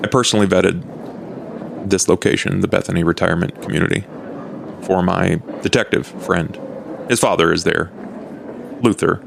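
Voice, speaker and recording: A man voicing a courteous, measured old vampire in a tabletop roleplay podcast, speaking formally and deliberately. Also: steady tone, a little hiss or hum. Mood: earnest, formal. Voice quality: low, gravelly. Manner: formal, deliberate, understated phrasing.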